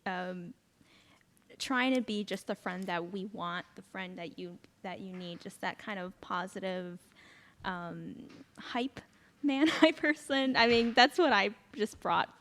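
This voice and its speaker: A woman's voice: medium pitch, a bit bright, a little hiss or hum. A woman speaking casually and hesitantly as an actor in a group discussion, with a modest, giggly manner. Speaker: actor in a play. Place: room in a library. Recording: reverberant room recording.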